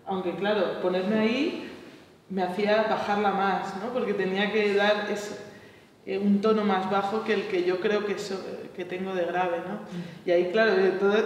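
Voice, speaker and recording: A woman's voice: mid-range voice, a little hiss or hum. Woman, 30s, hesitant reflective seminar talk; distant mic, roomy echo. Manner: hesitant, thinking aloud, frequent tag questions. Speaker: choir workshop leader. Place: large bright seminar room. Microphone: far camera mic. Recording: echoey room from far off.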